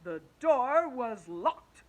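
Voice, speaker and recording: A woman reading an audiobook voices a jolly male teacher who claims to be a superhero, speaking slowly and theatrically. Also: big pitch swings, full character voice. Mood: cheerful. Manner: slow, booming and theatrical. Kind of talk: audiobook character voice.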